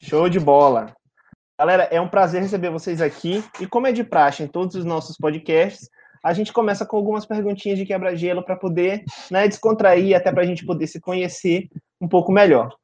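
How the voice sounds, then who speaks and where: A man's voice: sits high, fairly quick, darkish tone, lo-fi sound, slight background noise. A man with a Brazilian Portuguese accent hosting a podcast in an informal, chatty way, checking in with frequent tag questions. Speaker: podcast host. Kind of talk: casual conversational podcast hosting.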